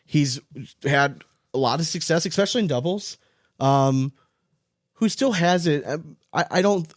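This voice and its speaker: Higher-voiced man chatting about sports, close and dry. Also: lively intonation, a little slow, no room sound. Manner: rambling, repeats phrases for emphasis. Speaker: podcast co-host. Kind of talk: casual sports chat.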